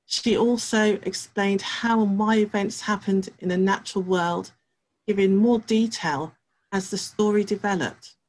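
A middle-aged woman researcher reading a prepared talk from her home study, measured and careful, with pauses. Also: mid-range voice, steady tone, a little mellow, low-quality recording.

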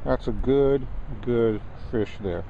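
A man hosting his own fishing video, talking casually to viewers while catching and releasing bass at a pond edge. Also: slow, vocal fry. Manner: easygoing, addresses viewers as ladies and gentlemen. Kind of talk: casual outdoor fishing commentary. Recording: muffled lo-fi camera audio with outdoor wind noise.

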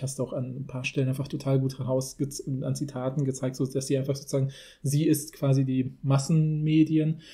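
A man's voice: mid-range voice, dark tone. A man hosting a podcast, talking in a casual, rambling and informal way. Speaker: podcast host. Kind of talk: casual discussion.

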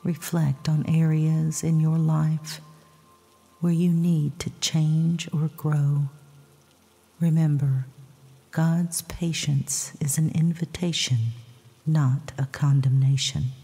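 A woman softly narrating a scripted guided sleep meditation with scripture readings, in a hushed, breathy, soothing and measured voice. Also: low voice, level tone. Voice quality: soft, breathy, husky. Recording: long reverb, soft music behind.

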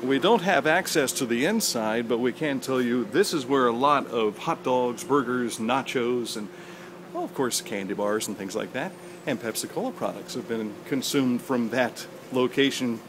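A man, chatting through a handheld walking tour of an outdoor high school stadium, folksy and proud of his hometown. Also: moderate pace, noisy background. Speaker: local stadium tour guide. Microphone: handheld camera at arm's length.

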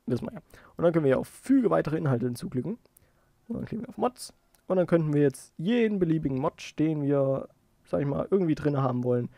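Mellow man giving a casual tutorial. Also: mid-range voice, creaky, no echo, studio quality. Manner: informal, thinking aloud. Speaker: tutorial host. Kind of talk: casual screen-recorded software tutorial.

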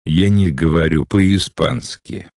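A man slowly reading out short phrases for a language lesson, with careful, deliberate pronunciation. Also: deep voice, fairly even intonation, creaky, a little mellow, dry recording, clean studio sound. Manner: slow, deliberate enunciation. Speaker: Russian phrase reader. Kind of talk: slow scripted phrase reading.

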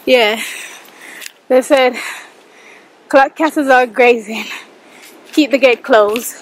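A woman's voice: high voice, slow, a little hiss or hum, low-quality recording. A young woman vlogging, chatting casually to a handheld camera as she hikes up a muddy trail and later talks at home. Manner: chatty, breathless, exclaiming. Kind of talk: casual vlog chat. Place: outdoors on a muddy hillside trail, then a car, then a bedroom at home.

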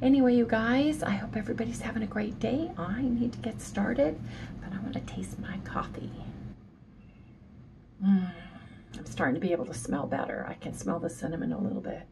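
A woman in her sixties, hoarse and a little nasal from sinus trouble, chatting casually in a morning vlog from her home office. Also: a little high, animated, normal speed, background noise. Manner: chatty, keeps saying "you guys". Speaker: vlogger.

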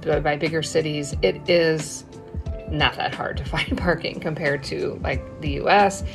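Woman, American accent, 40s, low voice, chatty vlogger, frequent ums and laughs. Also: steady tone, a little slow, vocal fry, music in the background. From United States. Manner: chatty, laughs often, fillers like um and uh. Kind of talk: casual vlog narration. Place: small bright room indoors, then walking outdoors in the city.

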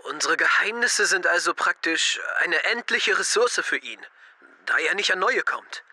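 A man's voice: sits high, expressive, normal speed, bright, forceful tone, no echo, full character voice. An actor playing a blunt, defensive teenage skater boy in an audio drama, speaking matter-of-factly. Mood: analytical.

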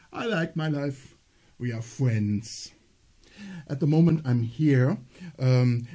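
A middle-aged man from northern Germany with a German accent, telling a slow, gentle solo theater story. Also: mid-range voice, breathy edge. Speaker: theater performer.

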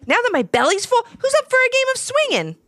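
A brash little storybook chimp, as a woman reader puts him on, pitched very high and swinging about. He is grumbly, impatient and always greedy. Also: wildly swooping pitch, fast, a bit bright, slight background noise, exaggerated voice. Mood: cheeky, eager. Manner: brash, exclaiming. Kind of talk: children's storybook character voice.

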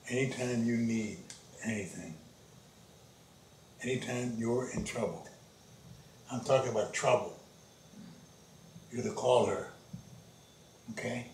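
A man playing a gravelly menacing mobster. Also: measured pace, pauses often, darkish tone, reverberant, a little hiss or hum, lightly acted, rough recording. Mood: stern. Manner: low, clipped and threatening. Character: aging male mobster, menacing and guarded.

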